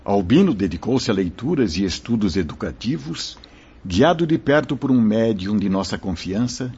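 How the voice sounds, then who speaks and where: Wise kindly spirit mentor, low husky voice, super expressive, formal radio play style, muffled audio. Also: male voice, exaggerated voice. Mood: solemn, benevolent. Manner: solemn, formal, measured explaining. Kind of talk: radio drama acting, declamatory. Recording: muffled lo-fi radio drama recording.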